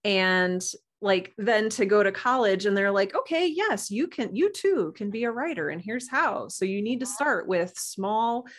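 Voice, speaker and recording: Female podcast guest, mid-range voice, casual and playful storyteller with animated asides. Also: mid-range voice, a little slow, hardly any pauses. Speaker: guest author and podcast producer.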